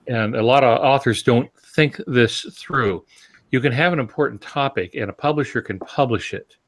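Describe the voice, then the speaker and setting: A man's voice: mid-range voice, normal speed. Male podcast panelist, conversational explainer who reasons step by step and poses rhetorical questions. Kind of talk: casual explanatory discussion.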